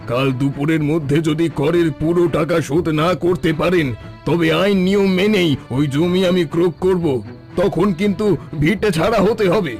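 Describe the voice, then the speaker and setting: A scheming village headman in a cartoon story, cold and threatening as he talks down to poor villagers, voiced by a man. His voice sits a little high. Soft music plays underneath. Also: moderate pace, close to an everyday voice. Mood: menacing.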